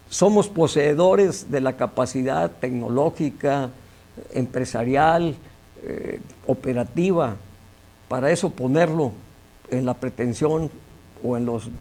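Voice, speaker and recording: An older Mexican man with a gravelly, fry-edged voice that sits a little high for a man. He speaks as an interview guest, measured and emphatic, often repeating a word while he finds the next one. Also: mellow. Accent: Mexican Spanish. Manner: measured, emphatic, repeats words when searching. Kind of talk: conversational interview.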